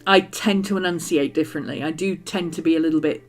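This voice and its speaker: A woman's voice: deep voice, quick pace. A middle-aged woman from England's West Country, with a mixed West Country and Manchester accent, chatting casually while she crafts, bubbly and full of ums and laughs. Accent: English, mix of West Country and Manchester. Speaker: journaling streamer. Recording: faint background music.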